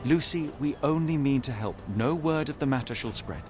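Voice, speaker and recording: Guy doing a british detective in a video game, mid pitch, precise n formal, coolly analytical, bit of music under it. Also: moderate pace, natural voice. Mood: cool, insistent. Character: composed, analytical young gentleman detective, coolly persuasive.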